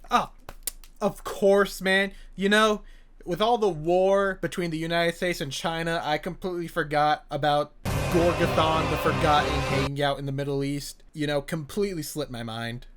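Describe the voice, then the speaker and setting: A man with a somewhat raised voice reacts as an online commentator. He is casual, sweary and sarcastic, easily exasperated. Soft music sits underneath. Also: fairly high pitch, fairly even intonation, moderate pace. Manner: exasperated, sarcastic, prone to exclamation. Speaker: YouTube commentator.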